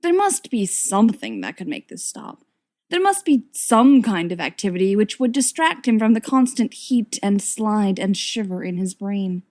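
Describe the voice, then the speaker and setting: A woman's voice: medium pitch, very animated, normal speed, a little mellow. A woman narrating a written story expressively, with wry, dramatic emphasis. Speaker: audiobook reader of fan fiction.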